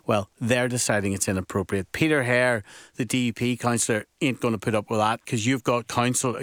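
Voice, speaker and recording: A man hosting a live radio show, brisk and informal as he presses his guests with questions. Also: medium pitch, no room sound, studio quality. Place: radio studio. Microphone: studio microphone.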